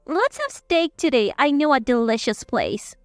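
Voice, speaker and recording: Woman playing a shrill, bossy mother-in-law. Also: sing-song, normal speed, clean tone, dry recording, soft background music. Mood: cold, commanding. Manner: commanding, contemptuous.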